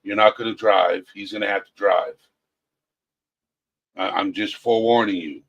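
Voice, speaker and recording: Earnest low-voiced man giving advice. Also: moderate pace, creaky, fairly bright tone, slightly rough, muffled recording. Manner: direct, earnest, repeats advice for emphasis. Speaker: guest. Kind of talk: casual chat and advice.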